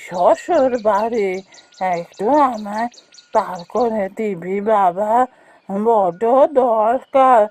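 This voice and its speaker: A woman voicing a penniless old village grandmother in a fairy-tale cartoon, halting and pleading. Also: mid-range voice, a little mellow.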